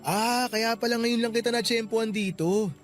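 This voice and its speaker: A man's voice: extremely high pitch, fairly even intonation, moderate pace, faint music behind. A man playing a friendly, helpful young office worker in radio-drama dialogue, chatty and given to teasing.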